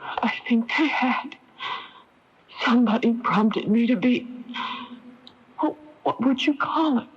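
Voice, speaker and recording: An actress playing an anxious, devoted heroine with a dramatic manner in a 1980s radio drama. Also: female voice, fairly high pitch, slow, long pauses, husky. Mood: pensive, relieved. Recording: dull lo-fi AM radio recording.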